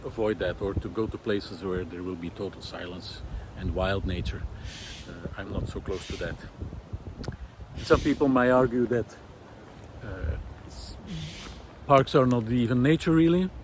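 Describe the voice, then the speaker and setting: A man speaking a reflective, unscripted monologue, thoughtful and halting as he searches for words. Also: on the low side. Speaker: host of a nature project podcast. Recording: outdoor city noise, wind, distant traffic. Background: faint city noise, wind, vehicles.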